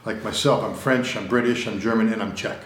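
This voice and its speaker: A middle-aged American man gives a casual interview, rambling and repeating himself with plenty of fillers. His voice sits a little low. The studio around him adds a noticeable roomy echo. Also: steady tone, a little fast, fairly bright tone. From United States. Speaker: interview guest. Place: recording studio room.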